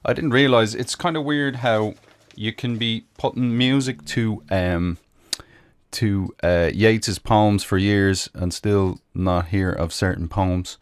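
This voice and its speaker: A man's voice: lowish voice, fairly even intonation, slow pace, smooth, no echo. A man with an Irish accent hosting a live music stream, chatting casually with many ums and uhs. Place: home room in Michigan. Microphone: close microphone.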